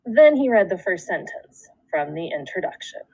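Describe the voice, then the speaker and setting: Female schoolteacher for a children's read-aloud: animated intonation, deliberate storytelling phrasing, dry room sound. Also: fairly low pitch, breathy edge, dry recording, dull audio. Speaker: second grade teacher.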